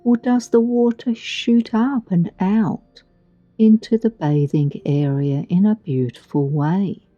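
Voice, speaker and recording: A woman guiding a meditation in a soothing, gentle, deliberate way with long pauses, recorded with a muffled sound. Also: medium pitch, no room sound. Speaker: meditation guide. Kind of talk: guided meditation narration. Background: background music.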